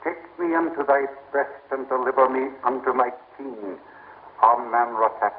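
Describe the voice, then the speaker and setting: A man's voice: medium pitch, normal speed, slightly rough, lightly acted. An actor playing a solemn, devout ancient Egyptian man in an old radio drama, speaking formally as if in incantation. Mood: reverent invocation. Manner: formal, incantatory, deferential address. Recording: tinny, muffled vintage radio recording.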